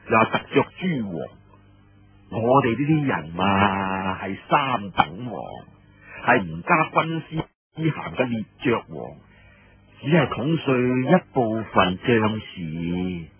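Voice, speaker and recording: A man's voice: fairly low pitch, sing-song, slow pace. A man voicing a nervous, deferential surrendered officer in an audiobook story, reporting to a commander with hesitant, obsequious care. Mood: careful reporting. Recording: muffled lo-fi roomy radio-like recording.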